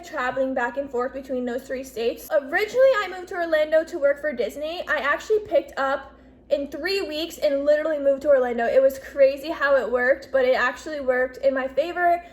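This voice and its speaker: A woman in her twenties chatting casually in a bubbly, talkative way, peppering her speech with "like" and "literally". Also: high-pitched. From US Northeast. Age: 20s. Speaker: YouTuber. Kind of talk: casual Q&A vlog.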